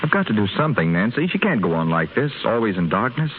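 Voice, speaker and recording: A man's voice: lowish voice, sing-song, fast talker, over loud music. An actor playing a young wealthy man who trained as a doctor, earnest and eager, with a slight stammer. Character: young wealthy man, former medical student, lovesick and eager. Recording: tinny noisy 1930s radio broadcast.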